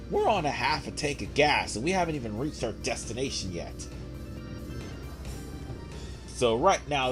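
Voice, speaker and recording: A young man with a hoarse voice from a cold, giving rambling, laid-back commentary while he plays a game. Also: mid-range voice. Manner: rambling, laid-back, frequent fillers and throat clearing. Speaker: gameplay commentator. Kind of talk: casual let's play commentary. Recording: game music playing behind.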